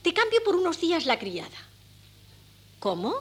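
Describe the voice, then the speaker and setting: An actress playing an excitable, scheming middle-aged mother in a Spanish radio comedy, with a Castilian accent and a fussy, exclamatory delivery. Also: extremely high pitch, normal speed, exaggerated voice. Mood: gushing, triumphant. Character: meddling, excitable, scheming mother of grown sons. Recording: noisy old radio cassette with hum.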